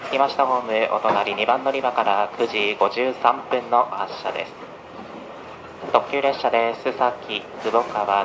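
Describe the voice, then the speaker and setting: A man working as a train conductor, making a formal, polite live announcement on board. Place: aboard a moving train. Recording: noisy train PA, loud rail rumble. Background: train running noise.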